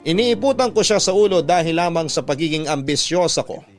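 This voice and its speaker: A man narrates a first-person letter in classic radio storytelling style. His voice sits a little high. Instrumental music plays clearly behind him. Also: animated, brisk.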